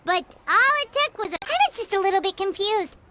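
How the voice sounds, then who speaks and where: A woman voicing a tiny, childlike, excitable female fairy companion in a video game. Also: extremely high pitch, brisk, heavy background noise. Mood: teasing, bemused. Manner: refers to herself in third person. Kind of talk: video game character acting. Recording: prominent music behind voice.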